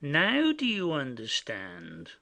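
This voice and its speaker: A man playing a simple, indiscreet rustic messenger in a classic stage comedy, chatty and confiding in a secretive, halting way. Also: fairly high pitch, wildly swooping pitch, gravelly, pushed and bright, exaggerated voice.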